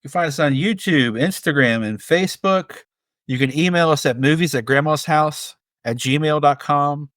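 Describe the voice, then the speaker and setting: Male co-host, thirties, slightly high voice, very dry sound, casual conversational film talk. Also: moderate pace, a bit bright, dry recording. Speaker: podcast co-host.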